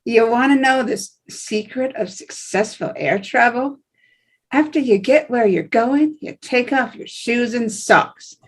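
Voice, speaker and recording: A woman's voice: on the high side, unhurried, low-quality recording. A woman reading the part of a chatty male businessman on a plane, in a friendly, confiding advice-giving tone. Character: chatty male businessman passenger, a know-it-all. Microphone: video call.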